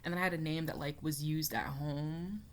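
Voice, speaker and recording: A woman's voice: deep voice, fairly even intonation, normal speed. A young woman chatting casually as she reacts to a music video, rambling with lots of fillers. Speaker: reaction YouTuber.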